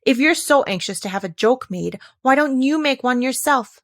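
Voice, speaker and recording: A woman's voice: high-pitched, lively intonation, normal speed, no room sound, studio quality, pitched above the performer's own voice. A woman voicing a polite but impatient young girl in a storybook, earnest and plaintive.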